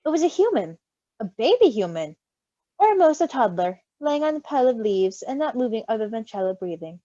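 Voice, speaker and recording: A woman reading a story in an animated way, with giggly, casual asides. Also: fairly high pitch, brisk, no echo. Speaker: story reader. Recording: slightly dull, close dry recording.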